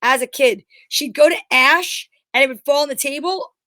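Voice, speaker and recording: A woman with a high voice and close, dry sound chats casually as a co-host. She is blunt and emphatic, drifting into rambling personal anecdotes with the odd swear word. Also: normal speed, sharp tone, dry recording. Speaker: show co-host. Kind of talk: casual chat.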